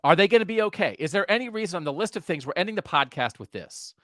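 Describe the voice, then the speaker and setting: A man hosting a sports podcast, talking casually in an animated way and asking his co-host questions. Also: high-pitched, fast, no echo, studio quality.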